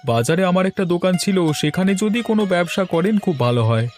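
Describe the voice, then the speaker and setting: Nosy cartoon neighbour, male, put on by a man, chatty and inquisitive, raised pitch, light music underneath. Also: lively intonation, brisk, darkish tone. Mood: inquisitive, friendly. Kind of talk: cartoon dialogue.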